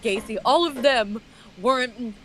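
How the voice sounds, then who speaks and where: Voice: mid-range voice, a little slow, a bit bright, rough recording. A co-commentator giving casual, critical commentary in a group chat.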